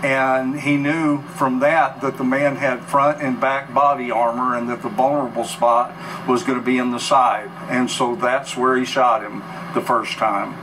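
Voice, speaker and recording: American man, 60s, mid-pitched, sober halting news interviewee giving a factual account, heard at a distance through noise. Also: flat delivery. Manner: sober, halting, matter-of-fact. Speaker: interview guest. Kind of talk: recounting events in a news interview. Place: news bureau studio, replayed on a TV in a room. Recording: distant TV filmed off screen, clear noise.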